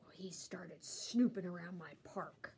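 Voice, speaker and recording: A woman reading a children's storybook aloud like a teacher, expressively and with animated delivery. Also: on the low side, a little hiss or hum. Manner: animated, pausing to ask listeners questions.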